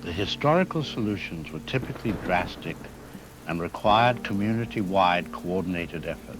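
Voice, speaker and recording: A man playing a grave academic historian in a radio drama, speaking in a formal, measured, lecturing way. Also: sits low, natural voice. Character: grave male academic historian expert on vampire folklore. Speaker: expert interview guest.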